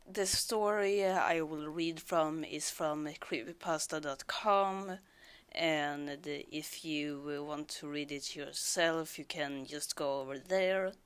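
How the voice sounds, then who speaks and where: A woman's voice: sits low, slow pace, smooth. A woman with a sore throat, talking casually and hesitantly with many fillers before reading a scary story aloud.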